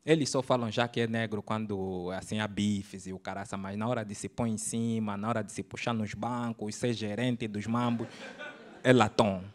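A man in his thirties with an Angolan Portuguese accent, doing a stand-up set on a comedy club stage into a handheld mic, swinging from loud bursts to low slangy asides. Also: fairly even intonation, moderate pace. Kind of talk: stand-up routine.

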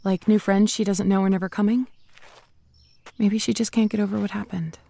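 A woman's voice: mid-range voice, little pitch movement, brisk, vocal fry, warm tone. A woman playing a sweet, lonely female zombie, chatting hesitantly and hopefully to the listener in an audio drama. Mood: wistful musing. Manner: hesitant, rambling, trailing off.

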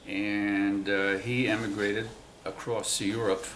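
A man in his nineties, born in Cuba, slowly recalling his family's past in an oral history interview, pausing often. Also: fairly low pitch, little pitch movement, fairly bright tone, echoey room, slight background noise, rough recording. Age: 90s. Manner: slow, halting recollection with many pauses. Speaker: interviewee, WWII navy veteran. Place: museum room, indoors.

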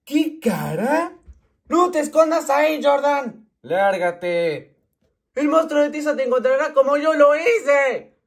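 A man's voice: extremely high pitch, sing-song, slow, heavily acted. A man voicing a nerdy, panicky schoolboy in an amateur comic fandub, dramatic and pleading. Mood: panicked. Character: panicky nerdy schoolboy frantic about a chalk monster.

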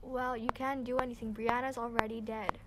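Girl playing an evil teacher, very high voice. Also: little pitch movement, fairly quick, natural voice. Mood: feigned innocence, defiant. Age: young teenager. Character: evil scheming female math teacher, defiant villain. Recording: noisy amateur student recording.

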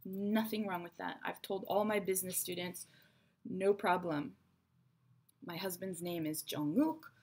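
A woman in her thirties, raised between Israel and America, giving a chatty, frank English lesson to her webcam in a small bright room. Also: lowish voice, unhurried. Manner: chatty, frank, teacherly asides. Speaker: English teacher. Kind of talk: online English lesson to camera. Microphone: webcam at desk.

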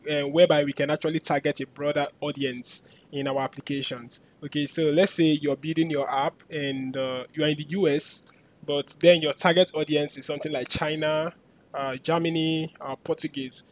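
A man giving a screen-recorded coding tutorial, chatty and filling pauses with "uh" and "okay". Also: on the high side, slight background noise, rough recording.

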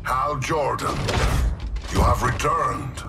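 Growling, vengeful supervillain. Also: male voice, medium pitch, steady tone. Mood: proud menace. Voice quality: gravelly, growling. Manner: grandiose, threatening, deliberate. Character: menacing, proud, vengeful alien supervillain. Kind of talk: video game cutscene acting. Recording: very loud music behind the voice.

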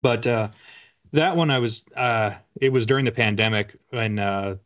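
A man's voice: medium pitch, normal speed, a bit bright. An American man in his forties chatting casually as an interview guest, with wry, self-deprecating humor. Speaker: author guest. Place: small home room lined with bookshelves. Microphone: video call, at a desk. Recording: slightly muffled video call audio.

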